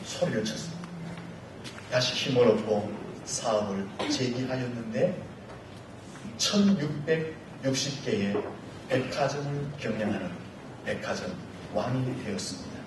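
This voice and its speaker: Fervent pastor with a cold, echoey hall. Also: male voice, medium pitch, animated, measured pace, long pauses, background noise. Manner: fervent preaching that swells into shouts. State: has a cold. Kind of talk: Sunday morning sermon. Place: church sanctuary. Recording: very echoey church hall, mic far off, faint music.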